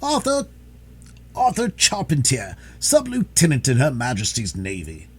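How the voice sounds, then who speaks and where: A man voicing a pompous, boastful, excitable police detective in a storybook reading. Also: on the high side, normal speed, breathy edge, voice pushed up, exaggerated voice. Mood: proud, excited.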